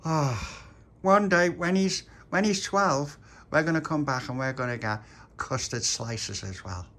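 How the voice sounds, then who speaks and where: A man doing a young boy in charge of his friends, raised in pitch and sure of himself. He hands out orders and plans with a big-brother air. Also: measured pace, a bit bright. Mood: bossy. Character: bossy, kind-hearted young boy, the gang's leader.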